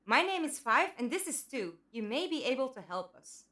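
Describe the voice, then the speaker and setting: A woman playing a composed, cautious leader of captives, measured and authoritative, in an audio drama. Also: high-pitched, very animated, pushed and bright, full character voice. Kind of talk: fan audio drama acting.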